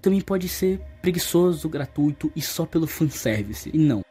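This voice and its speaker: A man's voice: a little high, lively intonation, moderate pace, mellow, husky. A young man with a Brazilian accent delivers opinionated, colloquial video-essay commentary, getting emphatic when he criticizes. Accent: Brazilian Portuguese. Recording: voice-over over background music. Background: music.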